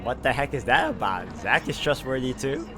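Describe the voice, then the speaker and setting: A man's voice: medium pitch, sing-song, moderate pace, noisy background. A man hosting a game walkthrough, reading visual novel narration aloud and adding his own asides. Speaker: video game walkthrough host.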